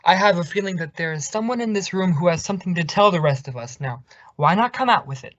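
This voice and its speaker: A man's voice: high-pitched, animated, fast talker, fairly bright tone, dry recording, highs cut off. A man playing a jovial, meddling family friend in a radio sitcom, with a hearty, coaxing, theatrical delivery. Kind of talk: 1950s radio sitcom acting.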